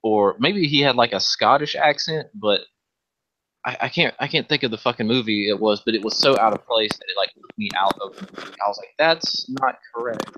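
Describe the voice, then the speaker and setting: A man's voice: mid-range voice, a little fast, bright, forceful tone. A man bantering casually, laid-back and agreeable, swearing freely. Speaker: co-host of a casual stream. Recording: dull, lo-fi voice chat.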